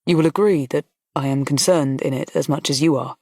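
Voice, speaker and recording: A woman reading an audiobook, voicing a kind male colonel who speaks in a pleasant, steady and frank way. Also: low-pitched, brisk, no echo, studio quality. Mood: reassuring. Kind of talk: audiobook character voice.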